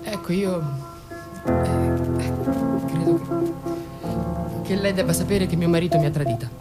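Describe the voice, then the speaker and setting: A woman's voice: fairly low pitch, normal speed, loud music behind the voice, lightly acted. Actress as flustered, stammering middle-aged mother. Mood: nervous, hesitant. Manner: hesitant, stammering, repeats words. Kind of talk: Italian radio drama acting.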